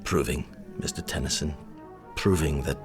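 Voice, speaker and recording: An actor playing a proud, ruined older colonel in a radio drama, speaking formally and haltingly with bitter defeat. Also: male voice, rumbling low, music in the background. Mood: bitter, defeated confession.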